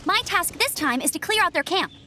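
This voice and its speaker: A woman's voice: very high voice, lively intonation, a little fast, bright, forceful tone, a little hiss or hum, full character voice. A woman voicing an upbeat, dutiful young female knight in a video game, speaking in an earnest, eager and slightly formal way. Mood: brisk, earnest. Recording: music behind the voice.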